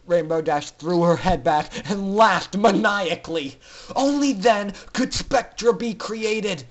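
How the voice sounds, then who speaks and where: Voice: medium pitch, slow pace. Theatrical storyteller with a mid-range, androgynous voice. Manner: theatrical, intense. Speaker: story narrator. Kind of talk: dramatic reading of fan fiction.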